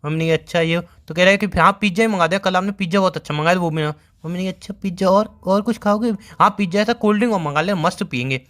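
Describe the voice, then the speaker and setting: Here is a man acting as a young boyfriend in a casual couple's call drama. He talks very fast in a fairly high male voice. His manner is teasing and evasive, full of banter and explanation. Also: fairly bright tone. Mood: explaining, storytelling. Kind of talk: casual scripted couple phone call in Hindi.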